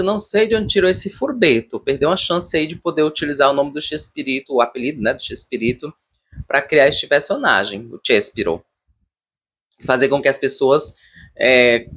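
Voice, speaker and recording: A man's voice: mid-range voice, fairly bright tone, husky. A Brazilian man giving casual, unscripted commentary as a video reviewer, repeating words and leaning emphatically on his opinions.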